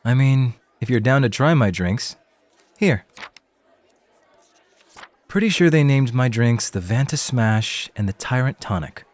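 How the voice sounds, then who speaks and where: A man's voice: medium pitch, animated, a little slow, long pauses, dry recording, natural voice. Man playing a hesitant rookie host, dry close sound. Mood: eager, casual. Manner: hesitant, casual, a little awkward. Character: unsure, laid-back young male rookie club host. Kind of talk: scripted ASMR roleplay acting.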